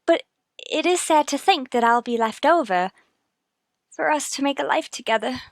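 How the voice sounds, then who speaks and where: A melancholy, devoted young American wife in mid-century radio melodrama. Her high voice moves expressively, earnest and plaintive throughout. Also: lively intonation, moderate pace, no echo, studio quality. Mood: sad, fearful.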